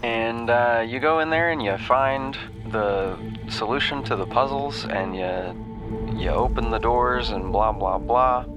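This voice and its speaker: A man's voice: medium pitch, slow pace. A man giving a casual game recap in an offhand, rambling way, swearing freely. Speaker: host recapping a video game quest. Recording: music behind the voice.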